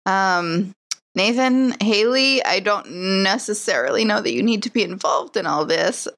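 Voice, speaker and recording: A woman's voice: medium pitch, animated, measured pace, a bit bright. A woman playing a tabletop roleplaying game, moving between improvised character speech and table chat.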